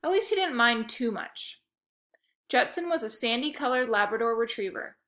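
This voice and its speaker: A woman reading a children's novel aloud with a lively, expressive storytelling manner. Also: a little high, normal speed. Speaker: reader.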